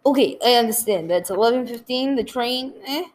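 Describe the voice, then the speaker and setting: A woman's voice: on the high side, a little fast, echoey room, slight background noise, lightly acted. A woman playing a shifty, businesslike male hired killer in a radio play. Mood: shifty, reassuring.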